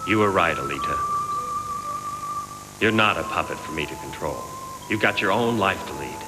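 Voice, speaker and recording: A man's voice: low voice, expressive, moderate pace. A man acting an earnest, caring mentor and bounty hunter in an anime dub on a worn tape. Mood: tender, troubled. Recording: worn VHS tape dub, loud music behind.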